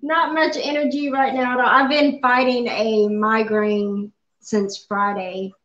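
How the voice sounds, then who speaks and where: Unhurried woman on a home livestream. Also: a little high, level tone, a bit bright. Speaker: livestream cooking host. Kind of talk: casual livestream chat. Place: home kitchen, indoors. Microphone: webcam at the table. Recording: echoey, dull webcam audio in a home room.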